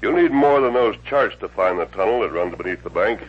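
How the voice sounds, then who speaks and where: An actor playing a steady Western hero posing as a surveyor in a 1950s radio drama. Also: male voice, fairly low pitch, fairly quick, breathy edge. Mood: firm, persuasive. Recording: dull, faintly humming old radio recording.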